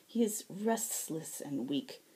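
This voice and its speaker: A woman voicing a weary, long-suffering scaly male fae caretaker, soft-spoken and formal, in an audiobook story. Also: sits low, lively intonation, takes it slowly. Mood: exasperated. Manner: polite, formal phrasing.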